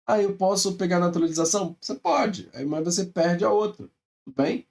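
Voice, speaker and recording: A man's voice: high-pitched, fairly quick, gravelly, a little mellow, distant mic. A male math teacher with a Brazilian Portuguese accent, explaining step by step in a recorded lecture and often checking in with his students.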